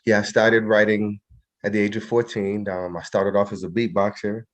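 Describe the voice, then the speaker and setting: A man's voice: on the low side, level tone, moderate pace, muffled recording. A middle-aged man from New York, a guest poet, talking reflectively and off the cuff in an interview. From New York, of a Trinidadian family.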